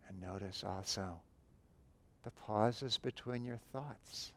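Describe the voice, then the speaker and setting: A man guiding a breathing meditation, giving calm, unhurried instructions with long pauses between phrases. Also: fairly low pitch. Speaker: meditation teacher.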